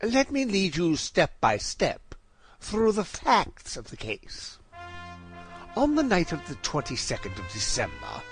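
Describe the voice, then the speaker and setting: An actor playing a brilliant, self-assured Victorian gentleman detective in an audio drama, with a precise, formal and theatrical delivery. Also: fairly high pitch, wildly swooping pitch, a little slow, soft background music, full character voice. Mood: self-assured, explanatory.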